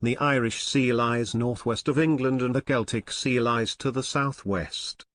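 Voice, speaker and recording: A man's voice: medium pitch, steady tone, measured pace, a bit bright, no echo. Man reading aloud, very dry sound. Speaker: sample answer reader. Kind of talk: scripted read-aloud narration.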